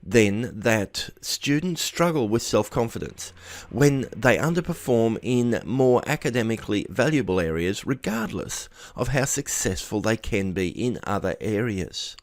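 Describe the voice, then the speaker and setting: A man narrates a written article in a low voice with very animated intonation. He reads from a script and now and then trips on a word before rereading it. The sound is dry, with hardly any room around it. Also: unhurried, dry recording. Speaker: article reader.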